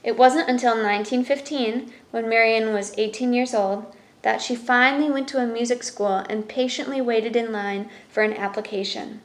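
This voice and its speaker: A woman's voice: on the high side, steady tone, normal speed, roomy sound. A woman reading a children's picture book aloud as a storyteller, in narration with brief explanatory asides.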